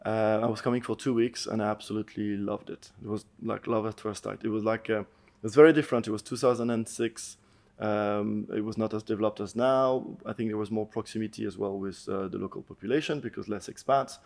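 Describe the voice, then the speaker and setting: A middle-aged man speaks in a lowish voice with a gravelly, creaky edge. He talks as an earnest podcast guest. He reflects out loud and pauses now and then to find the right word. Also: steady tone. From France. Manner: earnest, thoughtful, searching for words. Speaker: podcast guest, endurance athlete. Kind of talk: reflective storytelling interview.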